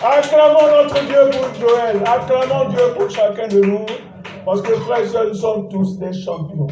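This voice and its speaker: Slow high-voiced pastor in echoey church. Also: male voice, fairly even intonation, over music. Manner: exhorting, repeats phrases, calls and responses with congregation. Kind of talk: sermon. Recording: echoey church hall, distant mic, dull sound.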